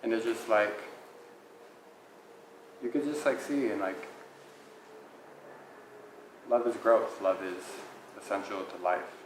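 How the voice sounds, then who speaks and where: A man's voice: fairly low pitch, slow, long pauses. A twenty-five-year-old man musing earnestly in an interview, with lots of "like". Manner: earnest, musing, says "like" often. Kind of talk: casual reflective interview answers. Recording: echoey room, faint noise.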